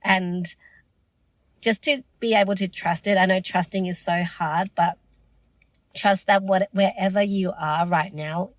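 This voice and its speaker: A woman's voice: mid-range voice, fairly even intonation, a little slow, dry recording. A woman hosting her own podcast, losing her voice, speaking in an earnest, reflective and encouraging way. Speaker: podcast host. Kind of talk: solo podcast monologue.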